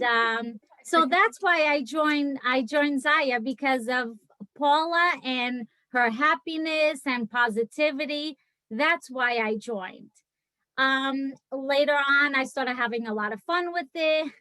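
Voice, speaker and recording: Portuguese-accented woman, 40s, unhurried, dry home-room video call. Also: sits high, sharp tone, dry recording. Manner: chatty, laughs often, many fillers. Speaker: guest speaker on a team video call. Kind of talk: casual personal story.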